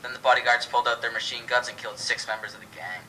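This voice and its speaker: A man's voice: medium pitch, steady tone, very fast, sharp tone, slight background noise, poor audio. A young man reading scripted lines as co-host of an amateur mock radio show. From Canada.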